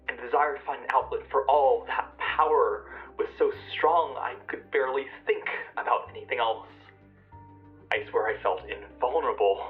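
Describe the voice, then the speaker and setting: A man playing an anonymous source recalling a dangerous high in halting, intense words, as a dull lo-fi recorded message. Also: close to an everyday voice. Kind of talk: audio drama acting.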